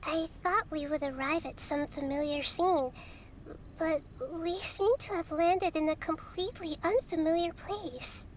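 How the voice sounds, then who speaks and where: A gentle childlike goddess. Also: female voice, very high voice, steady tone, a little hiss or hum. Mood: thoughtful. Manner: soft, measured, reassuring. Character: gentle young girl goddess, calm and wise. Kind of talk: game voice acting. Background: game music.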